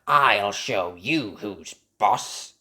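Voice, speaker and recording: A cruel, bossy storybook stepmother, put on by a read-aloud narrator. Her voice is very low and husky, stretched into a slow, stern drawl. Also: animated, sharp tone, heavily acted. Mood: cross, stern. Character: cruel, selfish, bossy stepmother, adult woman.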